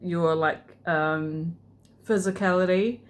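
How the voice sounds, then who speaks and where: Slow, hesitant New Zealand woman vlogger. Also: lowish voice, fairly even intonation. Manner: hesitant, many fillers and restarts. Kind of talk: casual rambling vlog.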